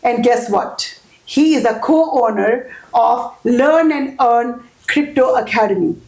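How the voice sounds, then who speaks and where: A woman's voice: on the high side, expressive, slow, gravelly, a little mellow. A woman hosting a podcast, welcoming her guest and interviewing him with enthusiasm. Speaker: podcast host. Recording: echoey room.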